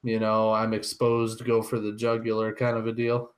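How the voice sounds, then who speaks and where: A man's voice: fairly low pitch, completely flat delivery, moderate pace. A man co-hosting a podcast in casual chat, picking his words with some hesitation. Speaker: podcast co-host.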